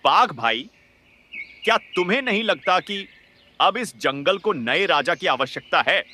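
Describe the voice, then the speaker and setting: Man voicing a sharp, pushy cartoon wolf schemer. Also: sits high, expressive, measured pace, slight background noise, exaggerated voice. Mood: pushy, persuasive. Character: cunning power-hungry male wolf schemer. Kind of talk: Hindi animated moral story dialogue.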